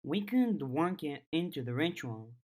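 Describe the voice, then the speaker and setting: A serious and practical teenage girl in an audiobook, voiced by a woman. She sounds caring, with a low voice and slow, measured lines. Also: big pitch swings, mellow, full character voice. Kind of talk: audiobook character dialogue.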